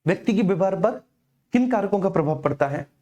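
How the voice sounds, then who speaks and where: A man's voice: high-pitched, expressive, fast talker, mellow, highs cut off. A man teaching an exam coaching class informally, explaining repetitively and addressing his students directly.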